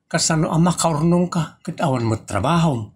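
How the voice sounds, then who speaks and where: A man's voice: fairly high pitch, animated, moderate pace, a little mellow, breathy edge. Man acting a swoopy, teasing comedy husband. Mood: evasive. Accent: northern Philippine. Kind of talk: comedy audio drama acting.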